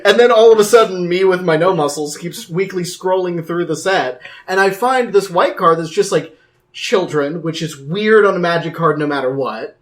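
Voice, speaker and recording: A man's voice: sits high, moderate pace. A man co-hosting a casual card game podcast, joking and swearing freely. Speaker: podcast co-host.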